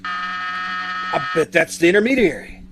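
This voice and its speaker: A man's voice: high-pitched, steady tone, measured pace, sharp tone. A man playing a fretful, gushing newlywed husband in an old-time radio comedy-mystery. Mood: worried. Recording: faint background noise.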